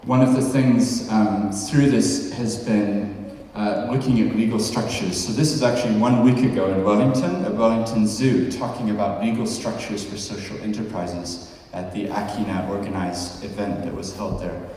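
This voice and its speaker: A man's voice: fairly low pitch, steady tone, moderate pace, mellow. A man in his forties from New Zealand, giving a conversational conference talk and asking the audience questions. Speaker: podcast host giving a talk. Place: large hall with a stage. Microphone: handheld mic, heard from far off. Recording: echoey hall from far off.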